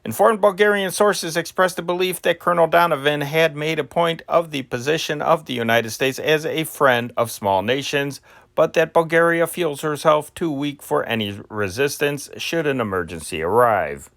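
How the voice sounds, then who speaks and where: Male amateur newsreader, slightly high voice, deliberate announcing, scripted news reading, occasional stumbles, very dry close sound. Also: creaky, fairly bright tone, no room sound. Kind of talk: reading old newspaper stories aloud as a news bulletin.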